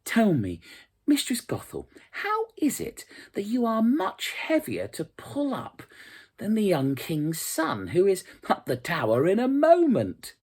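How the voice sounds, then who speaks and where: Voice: mid-range voice, wildly swooping pitch, a little slow, a little mellow, breathy edge, voice pushed up, full character voice. A storyteller voicing an innocent, naive young maiden in a light, guileless manner. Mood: artless.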